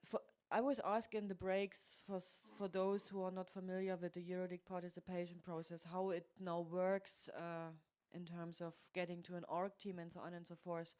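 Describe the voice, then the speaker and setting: A woman at a planning meeting, calmly explaining to newcomers how teams are formed, with frequent fillers. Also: fairly low pitch, normal speed, few pauses. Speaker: meeting organizer.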